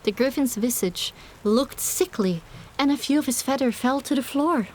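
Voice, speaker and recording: Expressive higher-pitched female audiobook narrator. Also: normal speed, slight background noise. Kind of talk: scripted first-person fiction narration.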